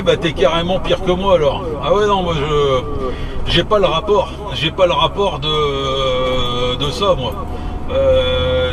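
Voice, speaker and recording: A man chatting casually in a slangy, hesitant, grumbling way, like a working driver talking to a colleague. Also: on the high side. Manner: slangy, hesitant with long drawn-out hums, grumbling, mild swearing. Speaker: working delivery driver on a radio contact. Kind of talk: casual radio chat between drivers. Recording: distant mic, loud vehicle noise.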